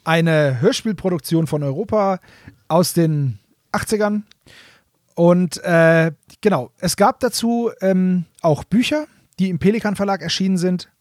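A man with a notably high-pitched voice co-hosts a podcast. He explains things in a casual, chatty way, recorded very dry with no room sound. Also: a little slow, darkish tone, no room sound. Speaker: podcast co-host.

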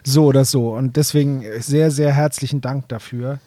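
A man's voice: mid-range voice, steady tone, normal speed, dark tone. A man speaking as a podcast co-host in casual, conversational chat.

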